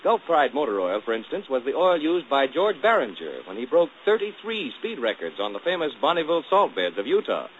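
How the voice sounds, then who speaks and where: A man's voice: mid-range voice, very animated, a little fast, few pauses, slight background noise. A man announcing on 1940s radio, reading a commercial in a folksy, hearty salesman manner. The sound is thin and tinny, like an old broadcast.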